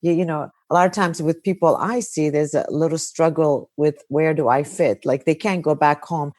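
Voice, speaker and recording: A woman hosting a podcast conversation in a casual, chatty way, with lots of "like"s and stories. Her voice sits very low for a woman, and the recording is dry and close. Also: fairly even intonation, normal speed, darkish tone, no echo. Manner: chatty, anecdotal, with many "like"s and "you know"s. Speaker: podcast host, counselor. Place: Kuwait.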